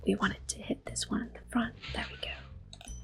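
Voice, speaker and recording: Soft-spoken young woman whispering close. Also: normal speed. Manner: calm and chatty, with gentle fillers. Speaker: ASMR gaming host. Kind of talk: ASMR gameplay commentary. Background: faint video game music.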